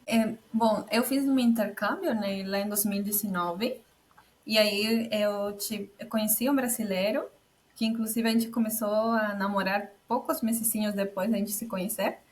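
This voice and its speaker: A young woman from Peru with a Peruvian Spanish accent, a guest chatting casually about her life, with many fillers. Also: mid-range voice. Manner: relaxed, many fillers like tipo and assim. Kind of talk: casual conversation.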